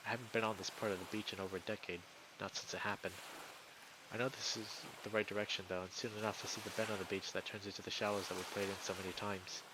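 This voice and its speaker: A man's voice: lowish voice, flat delivery, quick pace, background noise. A man reading a visual novel aloud as a streamer, in dramatic first-person narration.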